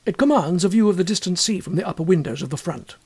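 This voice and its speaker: Expressive, husky-voiced male storyteller. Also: high voice, fast. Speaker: audiobook narrator. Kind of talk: scripted literary ghost story narration.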